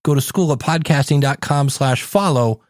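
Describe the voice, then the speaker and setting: A man's voice: fairly high pitch, normal speed, no echo, clean studio sound. A man hosting a podcast, talking directly and conversationally to listeners as he promotes his coaching.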